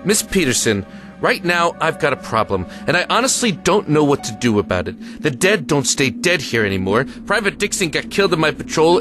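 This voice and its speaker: A man acts a burdened American army lieutenant in a war audio drama. His slightly high voice moves widely in pitch as he gives firm, clipped commands, with music clearly behind him. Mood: weary, grave.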